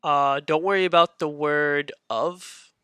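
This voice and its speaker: Guy teaching a beginner class, kinda high bright voice, lots of uhs, dry close mic. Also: steady tone, slow pace, smooth, no echo. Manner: patient, repeats points, many fillers. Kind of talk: casual online lesson.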